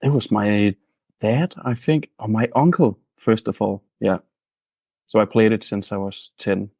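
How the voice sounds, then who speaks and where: A man from Denmark, a band member answering in short halting phrases and laughing easily. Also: lowish voice, steady tone, gravelly, darkish tone, husky, no room sound, studio quality. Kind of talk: casual interview answers.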